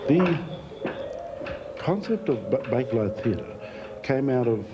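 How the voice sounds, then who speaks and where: A middle-aged Australian man talking reflectively in an interview, in a measured, halting way. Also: medium pitch, sing-song, over loud music. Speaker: theatre founder, former teacher. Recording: muffled, faintly noisy recording.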